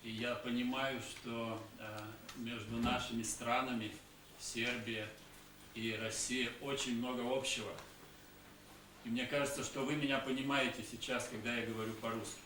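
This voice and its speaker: A man giving a conference talk in short phrases, pausing often with hesitation sounds. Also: medium pitch, flat delivery. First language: Russian. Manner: halting, many hesitation sounds. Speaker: conference speaker. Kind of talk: conference talk with pauses for interpreter. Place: conference hall. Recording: echoey hall, distant mic, faint hiss.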